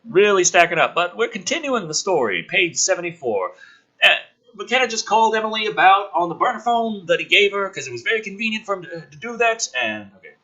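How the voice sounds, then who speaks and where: Guy ranting thru a script review, really sharp forceful voice, swears a lot, pitch jumps all over. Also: very animated, fairly quick, muffled recording. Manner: profane, sarcastic, exasperated. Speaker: host of a movie script review. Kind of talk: ranting commentary while reading a screenplay aloud.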